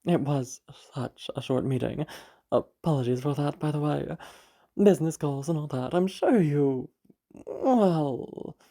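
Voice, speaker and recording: Rich mother, played by a woman for audio drama: condescending, gracious on the surface, passive-aggressive, mellow with some fry. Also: low-pitched. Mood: condescending, falsely polite. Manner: falsely gracious, haughty, hesitant pauses.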